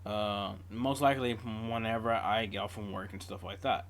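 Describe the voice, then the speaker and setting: A man's voice: lowish voice, steady tone, normal speed. A man hosting a reaction video, talking casually as he shares his thoughts.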